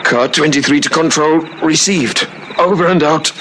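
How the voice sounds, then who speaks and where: A man's voice: on the high side, moderate pace, lightly acted. A man acting a wounded, straining policeman. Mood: strained, dutiful. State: in pain, straining. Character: wounded policeman, gasping, dogged and dutiful. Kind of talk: old radio drama acting. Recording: slightly noisy vintage radio drama recording.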